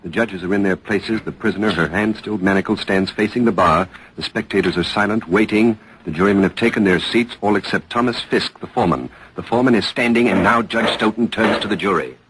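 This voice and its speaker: An actor playing an earnest radio news reporter, giving dramatic, urgent and articulate live commentary in 1940s style. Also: male voice, fairly low pitch, brisk, hardly any pauses, fairly bright tone, slight background noise, natural voice, low-quality recording. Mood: hushed suspense. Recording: old radio broadcast with crowd murmur.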